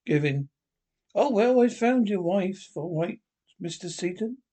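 A husky-voiced reader playing a cemetery caretaker, who talks in a halting, hesitant way. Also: mid-range voice, lively intonation, mellow. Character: caretaker of an old cemetery, uneasy.

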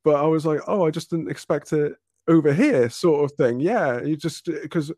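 A man's voice: on the high side, moderate pace, warm tone, dry recording, clean studio sound. A man from Wales, telling rambling anecdotes in a casual chat, often restarting and laughing.

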